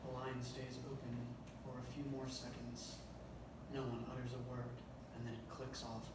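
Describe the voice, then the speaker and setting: Male narrator, medium pitch, deliberate horror-script read; heavily echoing room, distant noisy recording. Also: completely flat delivery. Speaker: director reading stage directions. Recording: very echoey room, distant mic, noisy background.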